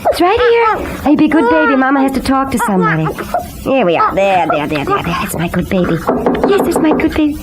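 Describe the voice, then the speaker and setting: High-voiced, anxious young mother. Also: huge pitch swings, a little fast. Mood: soothing. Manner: flustered, earnest. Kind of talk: old radio serial acting. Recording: noisy vintage radio recording.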